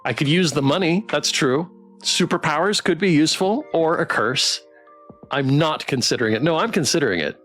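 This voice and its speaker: A man's voice: on the high side, lively intonation, moderate pace, a bit bright. A man streaming a game playthrough, chatting casually, reading narration and thinking aloud. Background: music.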